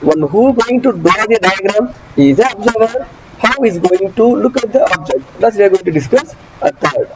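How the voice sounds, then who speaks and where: A man giving an online engineering lecture, repeating key phrases slowly and often asking students if they follow. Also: high voice, animated, crowd noise. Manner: asks students frequent check questions. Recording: dull muffled audio with traffic noise behind.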